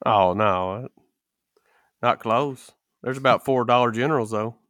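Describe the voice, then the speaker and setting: A man's voice: mid-range voice, a little slow, a bit bright, no echo, clean studio sound. A man in a casual podcast chat, telling stories with folksy, rural phrasing. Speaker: podcast co-host.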